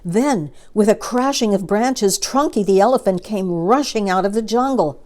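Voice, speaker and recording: A woman narrating a children's story as an expressive storyteller, reading from a book. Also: medium pitch, normal speed.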